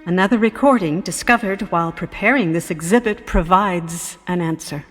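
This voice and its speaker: A woman playing a professor who gives a grave, measured speech recalling an invasion, in a radio drama. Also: on the low side, fairly bright tone, faint music behind. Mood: grave, reflective. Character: female professor solemnly recalling the aftermath of an invasion. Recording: long reverberant hall sound.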